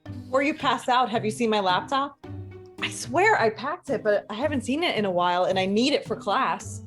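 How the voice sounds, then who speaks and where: A woman plays an exasperated young college student in a dramatic reading. Her voice is fairly high, and her delivery is brisk, with music playing behind her. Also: animated, fairly bright tone.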